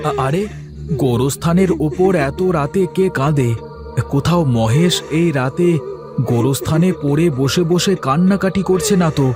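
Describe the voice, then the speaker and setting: Anxious, high-voiced cartoon village husband, acted by a man. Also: normal speed, over music, natural voice. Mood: alarmed, curious. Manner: exclamatory, agitated, repeats short urgings. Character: anxious village husband, curious, easily terrified. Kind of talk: animated horror cartoon dialogue.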